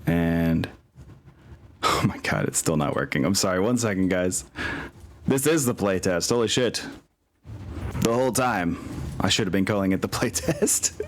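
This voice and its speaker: A man's voice: deep voice, expressive, a little slow, long pauses. A man acting as game master, narrating a tabletop game in a casual, easygoing way with apologetic asides. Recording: music behind the voice.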